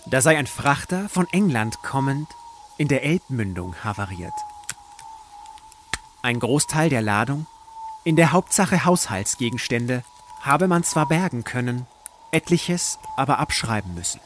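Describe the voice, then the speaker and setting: A man reading scripted literary narration for an audio drama in a measured, deliberate storytelling manner. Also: medium pitch, lively intonation, a little hiss or hum.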